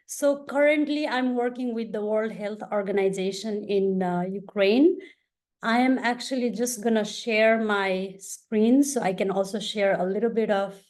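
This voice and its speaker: A woman from Nepal moderating an online panel, presenting in a measured, explanatory way over a video call. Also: medium pitch, a little mellow.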